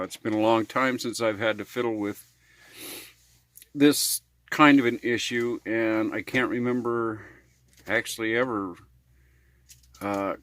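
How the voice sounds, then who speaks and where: A man's voice: lowish voice, a bit bright. An American man vlogging in a casual, unscripted way, talking in a folksy, rambling manner with homespun slang. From United States. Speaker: vlogger on RV living and repairs. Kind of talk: casual unscripted vlog talk.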